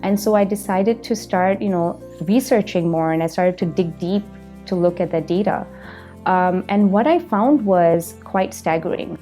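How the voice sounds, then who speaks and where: A woman's voice: on the low side, normal speed, dark tone, faint music behind. A woman in her thirties from Malaysia, a company founder speaking reflectively in a documentary interview, with frequent "you know" fillers.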